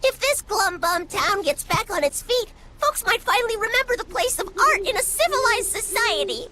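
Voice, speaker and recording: A woman voicing a small, perky, excitable folksy spirit creature in a video game, with rustic slang and lots of exclamation. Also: extremely high pitch, moderate pace, noisy background. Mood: eager, folksy. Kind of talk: English video game dub acting.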